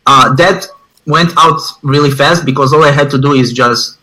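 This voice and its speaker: Bright-voiced man with an Israeli accent, emphatic. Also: mid-range voice, normal speed, poor audio. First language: not English, which is a third language. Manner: emphatic, repeats phrases for stress. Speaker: interview guest, online advertising student. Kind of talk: informal interview testimonial.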